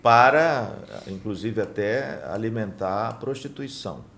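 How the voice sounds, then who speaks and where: Low-voiced Brazilian pastor hosting. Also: male voice, a little slow, vocal fry, a bit bright, a little hiss or hum. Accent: Brazilian Portuguese. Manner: brief supportive interjections, asks questions. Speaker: pastor, host. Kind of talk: casual interview.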